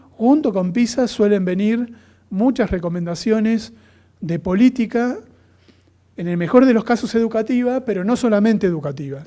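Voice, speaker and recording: A man with an Argentine accent lectures in an academic register, his voice sitting fairly high but soft and mellow. He talks thoughtfully, often pausing with fillers and starting phrases over. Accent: Argentine Spanish. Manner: thoughtful, many fillers and restarts. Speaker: lecturer on comparative education.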